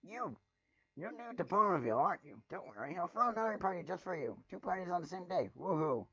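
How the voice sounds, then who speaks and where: A man's voice: high-pitched, expressive, fast, gravelly, pushed and bright, husky, pitched above the performer's own voice, exaggerated voice. A man voicing a hyper, gushing female party-loving pony with breathless enthusiasm in a storybook reading. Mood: overjoyed. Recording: muffled, roomy home recording.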